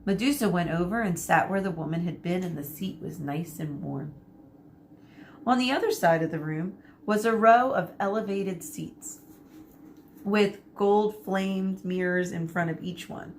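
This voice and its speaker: Teacher lady doing a read-aloud, explains words for kids, unhurried, little hiss behind. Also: fairly low pitch. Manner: lively character voices. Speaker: teacher reading aloud to students.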